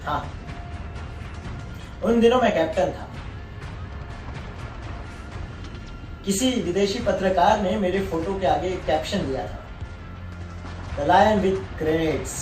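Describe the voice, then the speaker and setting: Merciless veteran colonel for a stage drama, male actor: high male register, solemn and deliberate, recorded off-mic with faint music. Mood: proud, intense. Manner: grave, deliberate, drawn-out emphasis on key phrases. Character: proud, merciless war-veteran colonel presiding over a court martial. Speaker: stage actor performing a monologue. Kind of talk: dramatic theatrical Hindi monologue. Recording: echoey room, distant mic, faint music.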